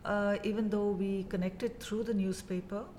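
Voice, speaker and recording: A woman in her fifties from India with an Indian English accent, telling her story as a guest in a casual interview, measured and full of pauses. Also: medium pitch, fairly even intonation, mellow, in a large hall, a little hiss or hum. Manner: measured, many pauses and "uh" fillers.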